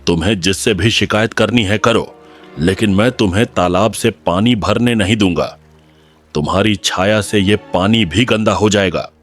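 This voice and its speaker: A man acts out a hostile village strongman in a cartoon moral story. He is harsh, accusing and commanding, in a fairly low voice. Faint music plays underneath. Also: moderate pace, natural voice. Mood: angry, accusing. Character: hostile, scornful village man and cruel village chief.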